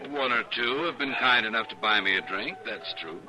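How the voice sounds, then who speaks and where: An actor playing a boastful, cowardly American war veteran, genteel and uneasy, in an old-time radio western. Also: male voice, medium pitch, expressive, normal speed, lightly acted, poor audio. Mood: smug, modest. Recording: old radio broadcast with music.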